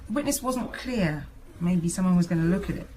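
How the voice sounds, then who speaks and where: An actress playing a businesslike police sergeant in a radio drama, reporting evidence plainly and a little tentatively. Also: female voice, on the low side, lively intonation, brisk, mellow, slight background noise, natural voice. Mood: matter-of-fact. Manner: matter-of-fact, slightly tentative. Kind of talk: naturalistic radio drama dialogue.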